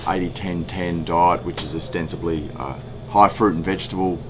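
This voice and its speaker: A man in his forties with an Australian accent speaks in a deep register. He gives interview testimony with measured, rather formal wording. Clear outdoor background noise surrounds the voice. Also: steady tone. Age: 40s. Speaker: festival attendee interviewee. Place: outdoors by a painted wooden wall.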